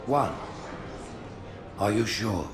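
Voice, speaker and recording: A man voicing a stately alien religious leader in a game cutscene, measured, oratorical and coldly judging. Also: lowish voice, lively intonation. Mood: measured judgment. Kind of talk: dramatic game cutscene acting.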